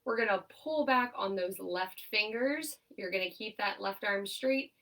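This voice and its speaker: A young woman occupational therapist leading a seated exercise class, her voice nasal and stuffed up, giving upbeat cues and steady countdowns. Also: mid-range voice, a little slow, recorded from a distance. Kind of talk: instructional exercise cueing with countdowns.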